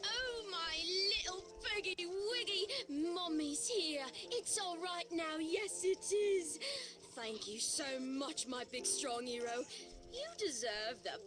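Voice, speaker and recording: A woman's voice: very high voice, expressive, takes it slowly, over music, exaggerated voice. An actress playing a flirtatious, doting country woman with a British accent in a video game puppet show, gushing and cooing. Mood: cooing, grateful. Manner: coquettish, gushing, cooing, giggly.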